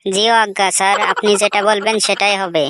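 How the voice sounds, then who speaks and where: A woman's voice: medium pitch, flat delivery, fairly quick, a bit bright, slight background noise, lightly acted. A woman voicing a cheeky, dim-witted job candidate in a comic cartoon, mock-polite and answering back.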